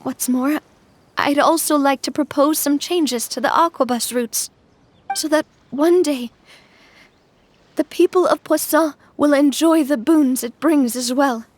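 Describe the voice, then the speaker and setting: Kind young gang boss, high voice, slow measured delivery, heartfelt, game cutscene. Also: female voice, a little hiss or hum. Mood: resolute. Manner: soft-spoken, measured, emotional. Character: young woman, gang boss, warm but shaken. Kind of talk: game voice acting.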